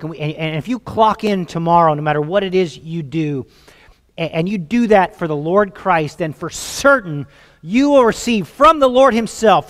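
A man's voice: sits high, big-room sound. A man in his forties with a Southern US accent preaching a sermon from a church stage into a headset mic, earnest and emphatic, often repeating words as he builds a point. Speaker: pastor. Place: church sanctuary stage, seated behind a music stand.

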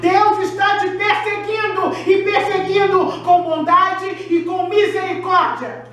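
A woman's voice: extremely high pitch, little pitch movement, slow, a bit bright, a little hiss or hum. A middle-aged woman pastor preaching an impassioned sermon in a church room, recorded by a far camera. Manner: impassioned preaching with raised finger gestures. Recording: very echoey room, voice from afar.

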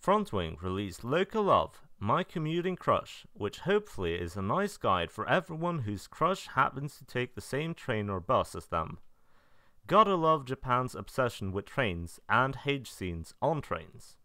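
Male review narrator, lowish pitch, very varied intonation, deadpan humor, dry recording. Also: a little slow, dry recording. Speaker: video narrator reviewing game releases. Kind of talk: semi-scripted review narration.

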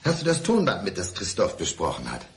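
Lonely blind divorced man, radio drama: low-pitched, insistent, bitter and deliberate. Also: huge pitch swings, slight background noise, full character voice. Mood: insistent, eager. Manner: probing, brooding, deliberate. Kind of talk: German radio crime drama acting.

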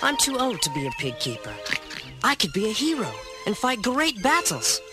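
Eager high-voiced boy hero. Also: moderate pace, loud music behind the voice. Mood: excited, urgent. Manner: earnest, excitable. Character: eager, impetuous teenage farm boy hero. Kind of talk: dramatic storybook acting.

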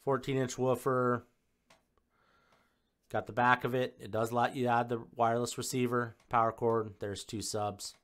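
A man's voice: mid-range voice, little pitch movement, unhurried, fairly bright tone. A man giving a casual, off-the-cuff unboxing and spec review, listing details in short, informal phrases. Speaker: home theater installer presenting a product unboxing.

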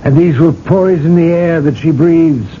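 An actor playing an earnest young priest in a radio drama, pleading with moral gravity. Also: male voice, on the high side, a little slow, lightly acted. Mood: grave, imploring. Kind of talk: 1980s radio drama acting. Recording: dull, noisy old radio recording.

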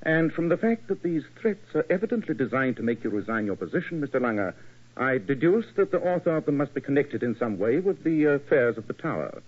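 A man's voice: medium pitch, big pitch swings, fairly quick, slightly rough. A man playing a self-assured English detective with a British accent, precise and formal, in 1940s radio acting. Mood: analytical. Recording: dull, lo-fi old radio recording.

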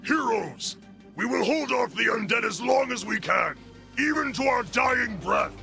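A bold warrior commander from a video game. His heroic, projected voice rides high and swings widely, with a forceful edge. Faint music plays behind. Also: lively intonation, a little slow, heavily acted. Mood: rallying, resolute. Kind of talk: video game character acting.

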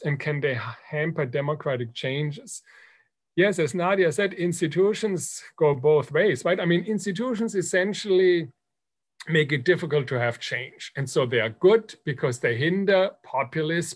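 Higher-pitched man with a German accent. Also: a little slow. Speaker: academic panelist. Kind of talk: unscripted panel discussion over video call. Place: book-lined home office, indoors. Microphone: computer mic on a video call.

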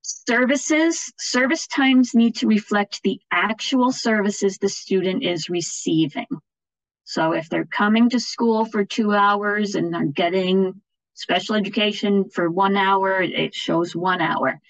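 A woman's voice: medium pitch, takes it slowly, no echo, low-quality recording. A woman presenting an informal training webinar in a plain-spoken, explanatory way, often checking in with listeners. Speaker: training presenter for education officials. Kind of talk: informal webinar instruction with slides. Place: online video-call webinar.

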